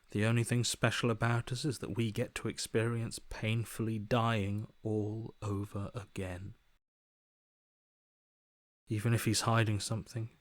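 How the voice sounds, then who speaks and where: A man's voice: on the low side, dry recording, close to an everyday voice. An actor voicing a defeated, fatalistic man in a video game, subdued and mournful, with breath at the ends of phrases. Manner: resigned, mournful, bitterly pessimistic. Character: despairing, defeated man, broken and fatalistic inner voice. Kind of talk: dramatic video game voice acting.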